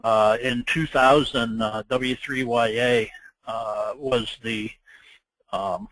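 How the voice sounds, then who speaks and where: Halting man giving an informal presentation, dry sound. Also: mid-range voice, fairly even intonation, a bit bright, dry recording, rough recording. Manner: halting and hesitant, often trailing off. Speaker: event chairman giving a presentation.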